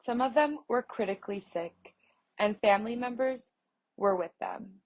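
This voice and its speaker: A woman voicing a news feature aloud, reading in a steady, measured way with the odd small restart. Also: fairly high pitch, poor audio. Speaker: voice interpreter reading an article.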